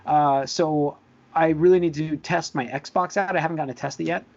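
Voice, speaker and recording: A man with a slightly high-pitched voice chats casually as a podcast host. He rambles and wanders between points. The audio is somewhat dull and lo-fi. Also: fairly even intonation, moderate pace, gravelly.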